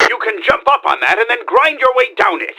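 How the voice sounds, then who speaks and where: A man voicing an eager, helpful cartoon sidekick who gives clear, energetic tutorial instructions in a video game. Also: extremely high pitch, fairly quick, slight background noise, exaggerated voice. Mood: upbeat, instructive. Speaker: in-game tutorial guide character.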